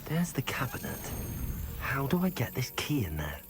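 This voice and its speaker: Curious young student wizard, very expressive. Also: male voice, medium pitch, normal speed. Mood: musing, puzzled. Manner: musing aloud to self. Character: curious, determined teenage student wizard, the game's hero. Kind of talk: video game voice acting.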